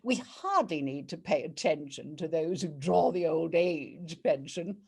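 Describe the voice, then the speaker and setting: A woman's voice: deep voice, measured pace, gravelly, darkish tone, breathy edge, heavily acted. A woman playing a righteous female judge, declaiming comic rhyming verse theatrically and savouring each rhyme. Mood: commanding. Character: regal, righteous female judge of a bawdy court. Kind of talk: dramatic verse reading, rhyming comic poem.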